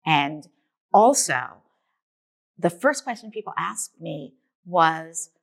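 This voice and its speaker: A woman from New York with a notably low voice answers interview questions. She speaks as a documentary filmmaker, telling her story in an earnest, reflective way. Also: expressive, takes it slowly, vocal fry.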